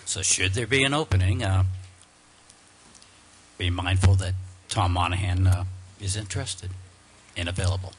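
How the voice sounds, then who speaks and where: A man with a fairly low voice answers a town board's questions in an earnest, polite way. There is faint noise in the room recording. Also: normal speed, long pauses. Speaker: applicant at a board interview. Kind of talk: answering questions at a public meeting.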